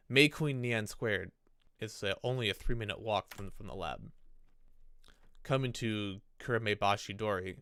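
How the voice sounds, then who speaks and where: A man's voice: lowish voice, sharp tone, clear, resonant tone. A man streaming a visual novel, reading it aloud in a casual, conversational way. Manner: casual, conversational asides between read passages. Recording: prominent game music behind the voice. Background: visual novel game music.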